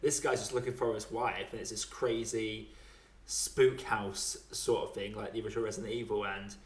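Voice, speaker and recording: A man's voice: lowish voice, level tone, normal speed. Casual man podcasting in an echoey room. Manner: sweary, informal. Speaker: podcast host. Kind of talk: casual podcast chat about films and games.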